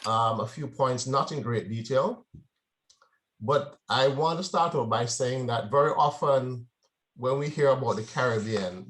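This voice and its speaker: A man's voice: medium pitch, fairly bright tone. A man from Jamaica giving a conversational presentation to a club, often checking in with the audience. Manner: conversational, frequent "right?" check-ins. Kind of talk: informal presentation.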